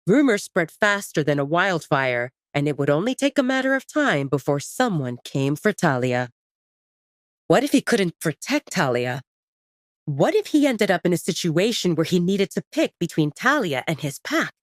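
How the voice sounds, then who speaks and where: A woman's voice: fairly low pitch, no echo, studio quality. A woman narrating a scripted romance story in a dramatic audiobook style.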